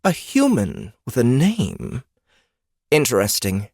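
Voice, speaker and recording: A man voicing a female beast-folk elder in a fantasy audiobook, haughty and erudite, with clipped, precise diction and a deliberate manner. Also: medium pitch, wildly swooping pitch, darkish tone, breathy edge, dry recording, studio quality, pitched above the performer's own voice, heavily acted. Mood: intrigued. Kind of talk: fantasy audiobook character acting.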